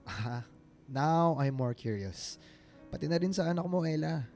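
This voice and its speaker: Radio drama casting: lovestruck Filipino ex, late 20s, lightish mellow voice, hesitant delivery. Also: male voice, a little high, lively intonation, measured pace. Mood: earnest, smitten. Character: earnest, smitten ex-boyfriend of twenty-nine, gently probing. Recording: music bed behind the voice.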